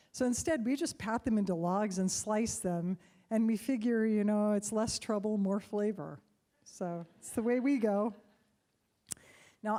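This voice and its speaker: A middle-aged woman tells a personal story on stage to a live audience, unhurried and conversational with soft laughs. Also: mid-range voice. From United States.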